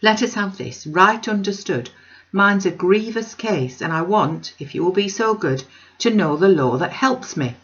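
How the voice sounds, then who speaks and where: A woman's voice: medium pitch, lively intonation, measured pace, fairly bright tone, lo-fi sound. A woman voicing an earnest, distressed working-class male weaver who speaks in broad dialect, in a storybook reading. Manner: earnest, broad Northern English dialect speech.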